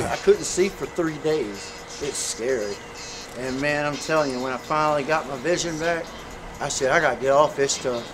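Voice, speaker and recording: A man's voice: mid-range voice, background noise. A man in a casual street interview who tells his story in an earnest, preaching way, filling his talk with "you know.".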